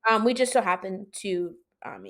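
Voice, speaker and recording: A woman with a high-pitched voice telling a personal story in casual, animated conversation, acting out her own reactions as she goes. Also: moderate pace. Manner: animated, colloquial, acts out own quoted reactions. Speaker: podcast guest telling own experience.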